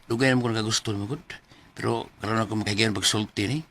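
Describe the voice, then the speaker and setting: A shy, bumbling suitor. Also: male voice, on the low side, steady tone, racing, a bit bright. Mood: nervous, lovestruck. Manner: hesitant, apologetic. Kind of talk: Cebuano radio drama acting. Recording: faint background noise.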